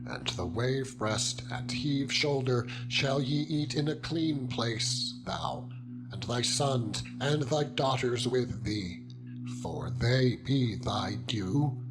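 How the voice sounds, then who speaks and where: A man's voice: mid-range voice, slow, background noise, lightly acted. A man playing a stern, commanding male biblical leader in a solemn, declamatory dramatized scripture reading. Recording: loud music bed under the voice. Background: loud music.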